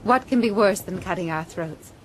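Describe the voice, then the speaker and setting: An actress playing a poised, sharp-witted gentlewoman at sea, with a polite, wry, questioning manner in a radio drama. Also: mid-range voice, moderate pace, slight background noise, close to an everyday voice, poor audio. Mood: wry, inquiring.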